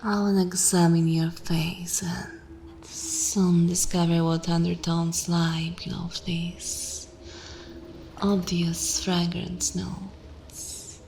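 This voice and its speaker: A woman voicing a dominant, sensual vampire mistress in an ASMR fantasy roleplay, commanding and savouring, often slipping into soft breathy whispers. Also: lowish voice, flat delivery, drawn-out words, slight background noise. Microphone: close microphone.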